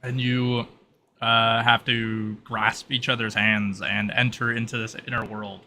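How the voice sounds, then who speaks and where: A man acting as game master, improvising scene descriptions in a casual, halting way. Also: mid-range voice, flat delivery, pushed and bright, slight background noise.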